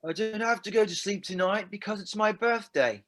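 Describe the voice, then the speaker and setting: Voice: medium pitch, moderate pace, fairly bright tone, natural voice. A performer playing an eerie small boy in a table read. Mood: soft, childlike.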